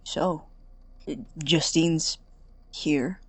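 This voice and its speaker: A woman playing an anxious, guarded college-age young man in an audio drama, speaking haltingly and apologetically. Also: low voice, steady tone, breathy edge, no echo, heavily acted. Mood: nervous, apologetic. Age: young adult. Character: awkward, anxious young male college student, studious and guarded. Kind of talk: naturalistic audio drama acting.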